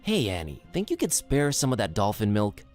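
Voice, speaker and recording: A sardonic out-of-town hero in a cartoon adventure game. He talks offhandedly in a middling pitch with big expressive swings, with light music underneath. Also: a little fast. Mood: friendly, awkward. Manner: wry, offhand. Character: wry, casual, sarcastic out-of-town adult male sleuth hero. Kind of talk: animated adventure game acting.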